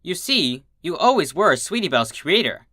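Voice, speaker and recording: A woman's voice: lowish voice, very animated, normal speed, a bit bright, no echo, studio quality, pitched above the performer's own voice. A woman voicing a regal, motherly pony princess in a cartoon fan story, gracious, cooing and playfully amused.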